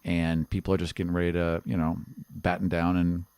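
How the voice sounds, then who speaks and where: A man with a fairly low voice acts as game master for a tabletop role-playing game. He improvises narration conversationally, dropping in casual asides. The sound is dry, with very little room. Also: level tone, a little fast, a little mellow, clear, resonant tone, no echo.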